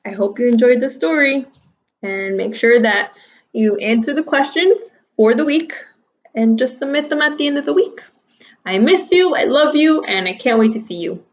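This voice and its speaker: A woman teacher reading a picture book aloud to young children in a deliberate, sing-song storytelling manner. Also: on the high side, lively intonation. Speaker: primary school teacher. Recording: slightly dull, lo-fi sound.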